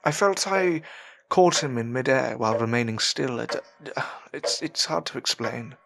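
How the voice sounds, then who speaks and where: A man playing an earnest, anxious upper-class gentleman learning magic in an audio drama, speaking politely and formally with a hesitant stammer. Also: mid-range voice, moderate pace, gravelly, natural voice. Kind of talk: audio drama acting with narrated reflections. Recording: voice over prominent background music.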